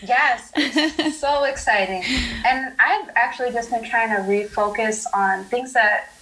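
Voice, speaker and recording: A woman speaking as a podcast guest, earnest and reflective, pausing thoughtfully between phrases. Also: on the high side, a bit bright, poor audio. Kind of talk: casual podcast conversation.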